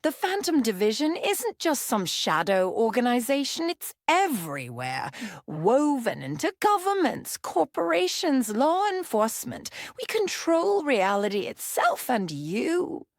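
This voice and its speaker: A woman plays a menacing female crime boss in a thriller audio drama. She speaks slowly and condescendingly, with a gravelly fry and lively intonation. The sound is dry and deliberate. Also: sits high, no room sound, heavily acted. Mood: cold menace. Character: cold, menacing female villain, shadowy cartel leader.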